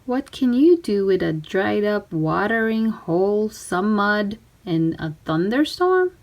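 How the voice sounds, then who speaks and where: A woman's voice: mid-range voice, sing-song, takes it slowly, darkish tone. A woman reading a children's story aloud, expressively acting out characters and sound words. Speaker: storybook reader.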